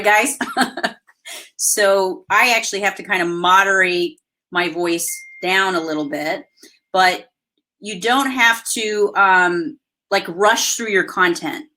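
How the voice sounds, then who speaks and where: Loud, bright-voiced woman host. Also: medium pitch. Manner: loud voice that carries; energetic, chatty coaching. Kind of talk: live webinar coaching. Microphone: video call.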